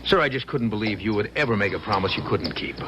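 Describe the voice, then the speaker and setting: A man's voice: fairly low pitch, lively intonation, fast, lightly acted. A man playing an earnest, doubting, loyal army major in a radio drama, speaking formally and with deference. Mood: worried, doubting. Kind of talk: 1970s radio drama acting. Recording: noisy vintage radio broadcast.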